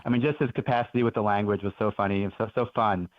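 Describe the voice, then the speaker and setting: A man's voice: fairly low pitch, fairly even intonation, rapid-fire, no echo. A man in his forties, from Belgium, telling stories as a guest author in an earnest, enthusiastic way on a radio interview. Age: 40s. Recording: dull lo-fi radio audio.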